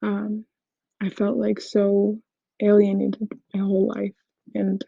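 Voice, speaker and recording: A caller speaks in a hushed, near-whispered voice, with a very dark, husky and gravelly timbre. The delivery is flat and level throughout. The voicemail sounds dull and dry. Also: mid-range voice, slow, no echo. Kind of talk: casual voicemail.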